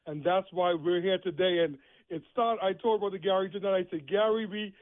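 High-voiced man on a phone line. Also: fairly quick. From Bahamas. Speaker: show co-host, radio interview guest. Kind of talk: conversational testimony on religious radio.

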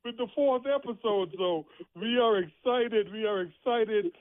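A man's voice: high-pitched, measured pace. A man from the Bahamas, chatting about faith on radio and often restating his phrases. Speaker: show co-host, radio interview guest. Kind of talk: conversational testimony on religious radio. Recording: tinny phone line.

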